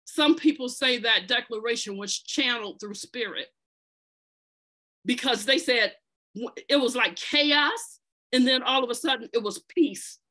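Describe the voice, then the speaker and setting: Bright-voiced woman telling a history. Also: a little high, unhurried. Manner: earnest, conversational, with restarts and fillers. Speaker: podcast presenter telling an organization's history. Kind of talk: storytelling, partly reading aloud.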